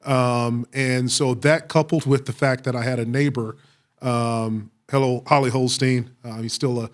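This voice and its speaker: Halting, reflective middle-aged American man. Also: mid-range voice, steady tone. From Waco, Texas, United States. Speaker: police chief, interview guest. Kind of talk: conversational interview answers, personal storytelling.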